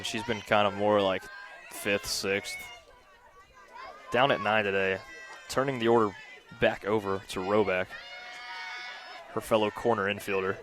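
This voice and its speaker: Low-voiced male softball color commentator. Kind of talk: conversational live sports analysis. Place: outdoor softball field, under a tent.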